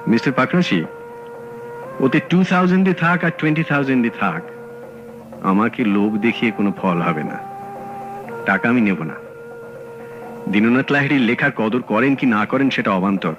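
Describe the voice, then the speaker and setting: A man playing a calm, sharp detective. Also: medium pitch, muffled recording, lightly acted. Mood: firm. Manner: measured, dry and firm. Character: calm sharp-witted male detective, firm and principled. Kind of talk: 1970s radio drama acting. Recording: old radio broadcast with clear background hiss.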